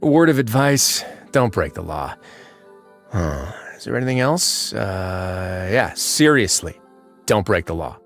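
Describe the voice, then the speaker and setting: An actor playing a calm, wry fortress warden in a video game, with a relaxed, measured, dry-humoured delivery. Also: male voice, sits low, faint music behind, heavily acted. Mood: dry warning. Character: calm, wry, authoritative male fortress warden, dignified but laid-back. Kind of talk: video game character voice lines, conversational monologue.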